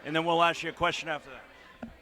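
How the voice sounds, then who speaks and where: A man's voice: on the high side, fairly even intonation, fast talker, bright, forceful tone. A man working as a reporter, interviewing young players in a chatty, upbeat way on a handheld microphone. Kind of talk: on-camera interview coverage.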